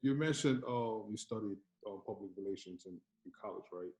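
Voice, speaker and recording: A man's voice: on the low side, fairly quick, darkish tone. Casual podcast host man in echoey room. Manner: casual, loose, slangy questions. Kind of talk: casual interview.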